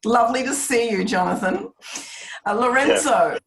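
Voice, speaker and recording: A woman's voice: fairly high pitch, expressive, moderate pace, fairly bright tone, roomy sound, low-quality recording. A woman informally hosting an online meeting, encouraging, chatty and polite as she guides the participants. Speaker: meeting host and chair. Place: online video call. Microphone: video call.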